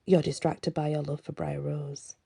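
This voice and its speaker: Cryptic older-guide type for fantasy audiobook woman performing: male mentor, low, creaky, measured, blunt. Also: level tone, warm tone, heavily acted. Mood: stern. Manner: measured, speaks in riddling statements.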